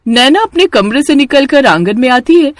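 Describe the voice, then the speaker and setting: A woman narrator telling a scripted cartoon horror story dramatically. Her voice is fairly high with very lively intonation, recorded dry without room sound. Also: normal speed, dry recording.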